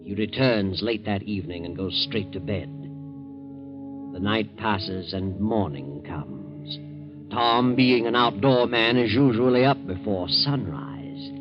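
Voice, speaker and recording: An actor playing an ominous, all-knowing storyteller, narrating deliberately in 1940s radio style. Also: male voice, fairly low pitch. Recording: dull vintage 1940s radio recording. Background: music.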